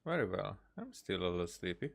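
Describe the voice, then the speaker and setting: A man's voice: low voice, big pitch swings, fairly quick, gravelly, crowd noise. A man giving casual live commentary as a game streamer, reading dialogue aloud with hesitant, musing asides.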